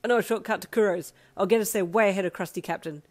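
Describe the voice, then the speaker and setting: A woman's voice: mid-range voice, quick pace, a little mellow, natural voice. A woman voicing a cocky young jock. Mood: brisk. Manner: brash and teasing. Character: cocky young male athlete, mischievous.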